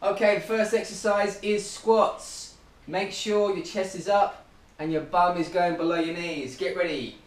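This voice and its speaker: A man's voice: high voice, fairly even intonation, measured pace. A man, coaching a home workout while he exercises, upbeat and encouraging, a bit out of breath. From Australia. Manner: upbeat, encouraging countdowns and cues. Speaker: running club fitness coach. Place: living room at home, indoors. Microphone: camera across the room. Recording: roomy, distant camera audio.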